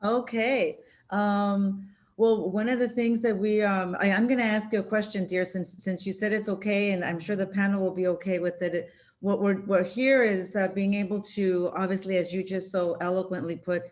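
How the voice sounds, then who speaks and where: Woman moderator, average pitch, plain everyday voice; hesitant intros packed with fillers. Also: steady tone, moderate pace, a little mellow. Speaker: conference moderator. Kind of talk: introducing a speaker.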